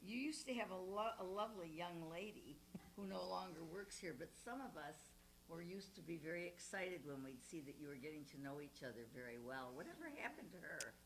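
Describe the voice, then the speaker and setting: An older woman with a loud, carrying voice introduces committee members and a guest speaker to a room of residents. She speaks in a fairly low register and laughs easily between questions. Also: lively intonation, a little fast, slight background noise, low-quality recording. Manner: loud voice, warm welcoming announcer tone, laughs often. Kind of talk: live introduction and interview at a residents' gathering. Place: community room at a retirement residence.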